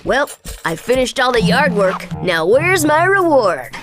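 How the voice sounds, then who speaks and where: A woman's voice: on the high side, sing-song, a little slow, music in the background. A woman voicing a cocky, wisecracking ten-year-old American boy hero in a cartoon video game. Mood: sarcastic. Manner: sarcastic, brash. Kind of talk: animated game cutscene acting.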